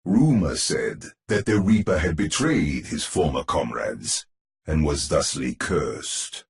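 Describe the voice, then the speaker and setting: An actor playing a grave, ominous storyteller narrating a gothic horror tale for a video game, with solemn theatrical delivery. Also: male voice, rumbling low, very animated, takes it slowly, creaky, heavily acted. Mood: brooding, suspenseful.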